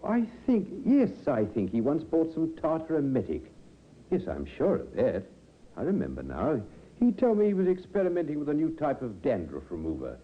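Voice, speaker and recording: Casting: chatty, hesitant chemist in vintage radio drama, raspy husky tone, hugely varied intonation. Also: male voice, a little high, wildly swooping pitch, normal speed, full character voice. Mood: obliging, musing. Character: obliging male chemist, ponderous, proud of his memory. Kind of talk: 1950s radio drama acting. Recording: dull vintage radio recording.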